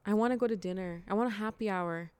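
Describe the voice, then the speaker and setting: A woman's voice: medium pitch, darkish tone, smooth, no room sound, studio quality. Stuffy-nosed young woman gossiping on a podcast. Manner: chatty, gossipy, quick reactions. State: stuffy nose from allergies. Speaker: podcast co-host. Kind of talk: casual chat.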